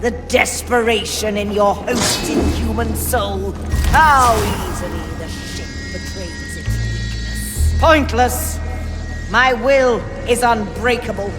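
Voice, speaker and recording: A woman's voice: mid-range voice, slow pace, natural voice. A woman voicing a cruel, imperious sorceress in a video game, taunting with grandiose, menacing deliberation. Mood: gloating, imperious. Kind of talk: theatrical video game voice acting. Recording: long reverb, music behind.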